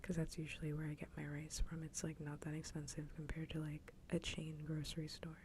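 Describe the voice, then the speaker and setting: Female ASMR host, laid-back breathy whisper, dark relaxed tone, even delivery, casual chat. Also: low-pitched, brisk, a little hiss or hum. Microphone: close ASMR microphone.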